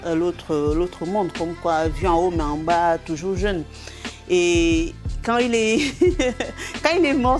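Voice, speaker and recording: Middle-aged woman, Cameroonian French accent, comedian giving an interview, animated and laughing, mid pitch, faint music underneath. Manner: animated, laughing often, many restarts and fillers. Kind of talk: interview, telling anecdotes.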